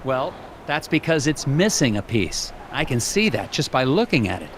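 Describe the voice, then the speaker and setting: A man playing an earnest, awkward young FBI agent in an animated game, polite and hesitant with a deadpan streak. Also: medium pitch, moderate pace, a little hiss or hum. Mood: puzzled, polite. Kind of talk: animated adventure game dialogue and dictated notes.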